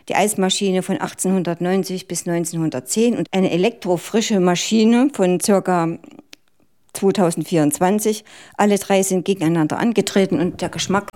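A woman's voice: medium pitch, a little mellow. A woman radio reporter, friendly and curious, asks short questions and then wraps up the segment. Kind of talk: radio interview and closing narration.

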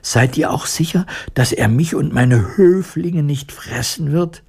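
A man voicing a haughty little mouse queen in a squeaky, regal voice for a storybook. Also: huge pitch swings, measured pace, dark tone, slightly rough, exaggerated voice. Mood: anxious. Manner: regal, imperious. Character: haughty little female mouse queen, easily indignant and alarmed.

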